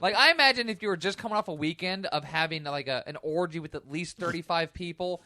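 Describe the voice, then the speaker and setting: A woman's voice: lowish voice, fast talker, pushed and bright, no room sound. A woman chatting casually on a comedy podcast, blunt and sweary, given to wild exaggeration.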